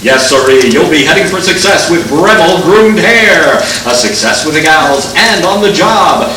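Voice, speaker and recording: An American man playing an upbeat 1940s radio announcer and pitchman with a theatrical salesman's delivery. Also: animated, moderate pace, sharp tone. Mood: peppy salesmanship. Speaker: announcer and narrator. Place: live stage before an audience. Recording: distant echoey stage recording with noise.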